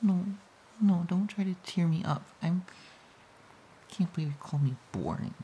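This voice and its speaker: A woman playing a playful, pouty, affectionate girlfriend in an intimate romantic audio roleplay, speaking softly and hesitantly with a breathy voice. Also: on the low side, animated, unhurried, slight background noise. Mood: sulky, pouting. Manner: soft, giggly, hesitant, cooing.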